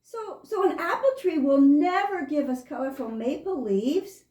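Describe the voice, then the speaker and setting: A woman's voice: sits high, expressive, a little slow, a little mellow. A woman voicing a friendly, chatty young female skunk in a children's puppet show, earnest as she explains things. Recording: roomy, echoing room sound.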